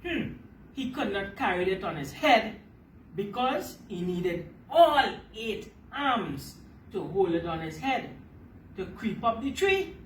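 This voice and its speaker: A woman's voice: medium pitch, very animated, slow. A woman reading a children's story aloud as storyteller, emphatic and repeating phrases to explain them to her listeners. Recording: roomy, distant mic, faint background noise.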